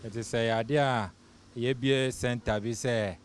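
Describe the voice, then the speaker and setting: A man's voice: medium pitch, fairly even intonation, a little slow, slight background noise. A man with a Ghanaian accent giving a casual interview answer.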